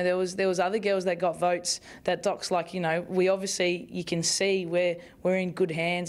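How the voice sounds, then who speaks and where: A young Australian woman speaks into a cluster of press microphones in a club media room. Her voice sits low and stays fairly flat. She answers in a relaxed, rambling way, full of fillers and restarts. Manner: chatty, with many fillers and restarts, laughs easily. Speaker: football player at a press conference. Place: club media room in front of a sponsor backdrop. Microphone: bunch of press microphones on the table.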